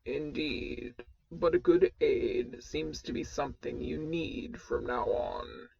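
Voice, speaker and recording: A woman voicing a stern, commanding male mentor in armor, with deep gravelly tones and formal, measured speech. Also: dark tone, highs cut off, heavily acted. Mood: calm advising.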